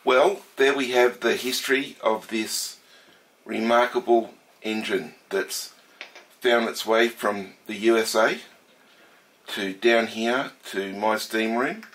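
Casting: middle-aged Australian man, nasal from a head cold, lowish voice, casual unhurried narration. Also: a bit bright. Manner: slow, thoughtful, with frequent fillers and restarts. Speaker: steam engine collector. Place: home workshop room.

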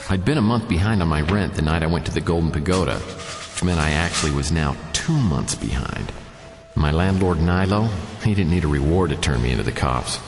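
An actor playing a wry, world-weary private detective, narrating a radio drama in a deadpan, sardonic way. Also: male voice, sits low, echoey room, big-room sound.

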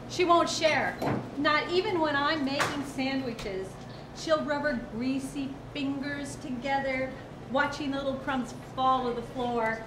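A woman with a high voice delivers a comic monologue as a dramatic reading. She takes her time, leaning on words with pointed emphasis. The room is echoey and noisy. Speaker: student performer. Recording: echoey room with clear background noise.

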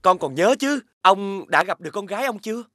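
Honest, deferential fairy-tale hero: lightly high, kind, dry studio sound. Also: male voice, expressive, fairly quick, sharp tone, no room sound. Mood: friendly. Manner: respectful, deferential. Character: humble, kind, honest younger brother. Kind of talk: animated fairy-tale voice acting.

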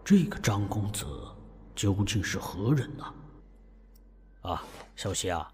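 A man as a low-voiced, grave middle-aged uncle. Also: animated, measured pace, darkish tone, slight background noise. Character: worried middle-aged uncle, head of family. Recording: music bed behind.

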